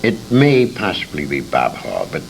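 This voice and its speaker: Deep gravelly older American man, animated storyteller. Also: moderate pace. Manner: emphatic storyteller, acts out quoted dialogue, repeats words for emphasis. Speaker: interviewee, veteran radio performer recalling his career. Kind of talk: animated anecdotal reminiscing. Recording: noisy 1980s convention interview tape, rumble.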